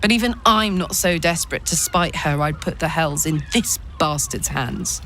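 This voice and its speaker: Sharp, cynical warrior companion. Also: female voice, sits low, animated, normal speed, a little hiss or hum. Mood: scornful. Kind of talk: video game acting.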